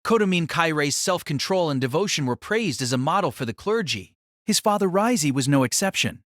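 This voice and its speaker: A man narrating a novel in a solemn, theatrical style with deliberate phrasing. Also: fairly high pitch, lively intonation, a bit bright, no echo, studio quality. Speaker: audiobook narrator. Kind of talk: dramatic scripted novel narration.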